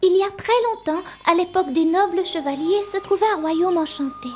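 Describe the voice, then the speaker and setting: A woman playing a gentle, dreamy young schoolgirl in a French cartoon dub, speaking earnestly. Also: extremely high pitch, fairly quick. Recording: music playing behind the voice.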